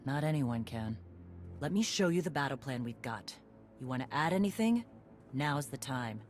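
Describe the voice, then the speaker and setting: Female warrior commander, battle-hardened and rousing, game drama delivery over clear background music. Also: rumbling low, expressive, moderate pace. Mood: blunt, brisk. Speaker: military leader. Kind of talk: dramatic video game acting.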